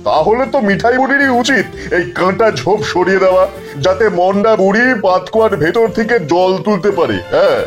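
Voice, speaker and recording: A man voicing a king in a cartoon, commanding and measured as he judges a quarrel. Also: high-pitched, lively intonation. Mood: judging. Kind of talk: cartoon voice acting. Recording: music under voice.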